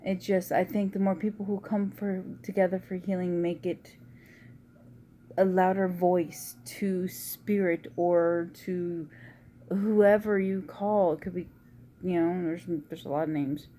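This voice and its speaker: A woman with a quiet, low voice chatting casually in a rambling home vlog. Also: fairly even intonation, a little slow, slight background noise. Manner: rambling, soft-spoken, uses y'all. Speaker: vlogger. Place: at home indoors.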